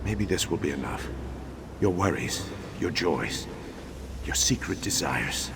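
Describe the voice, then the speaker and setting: Game casting: actor for bereaved man, low pitch, brooding, fixated, determined; noticeable background noise. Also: lively intonation, unhurried, vocal fry, exaggerated voice. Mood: grieving, pleading. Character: grieving, obsessive man clinging to lost beloved. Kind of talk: scripted game dialogue.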